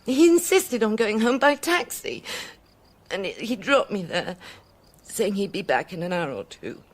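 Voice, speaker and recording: An actress with a British accent playing a timid, tender middle-aged French widow in a radio drama, soft, breathy and hesitant. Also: female voice, mid-range voice, very animated, a little slow. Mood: grieving, tearful. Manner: hesitant, wistful.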